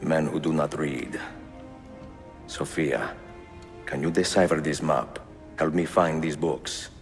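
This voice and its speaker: An actor playing a suave, confident older Italian adventurer in a game cutscene, with an Italian accent and measured delivery. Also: male voice, a bass voice, steady tone, in a large hall, heavily acted. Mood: measured, intent. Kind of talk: dramatic video game cutscene acting. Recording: music behind the voice.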